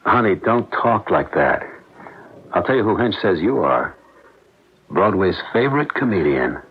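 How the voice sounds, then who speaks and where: An actor in a radio drama playing a concerned, reasonable husband who speaks in a gentle, coaxing way. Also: male voice, on the low side, sing-song, unhurried, slightly rough, full character voice. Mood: gentle, steady. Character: concerned, reasonable husband comforting his grieving wife. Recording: muffled old radio broadcast.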